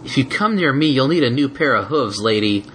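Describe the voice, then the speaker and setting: Man playing a young boy dragon, high-pitched, whiny, sulky, indignant, storybook character, noisy recording. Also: animated, a little fast, fairly bright tone, lightly acted. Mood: sullen.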